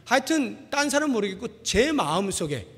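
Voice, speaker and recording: A man's voice: high-pitched, sing-song, a little fast, fairly bright tone, husky. A man in his forties preaching a sermon as a pastor, telling personal anecdotes in a conversational, self-deprecating way. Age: 40s. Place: large reverberant church hall. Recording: long hall reverb.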